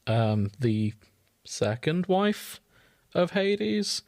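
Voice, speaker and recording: A man's voice: on the high side, big pitch swings, very slow, gravelly, darkish tone, no room sound. Man in casual, sweary podcast banter. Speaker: podcast co-host.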